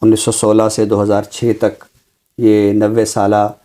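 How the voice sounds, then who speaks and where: A man with a Pakistani accent presenting a literary book review in a measured, scholarly, deliberate way. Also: on the low side, completely flat delivery, dark tone. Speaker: literary guest presenter introducing books.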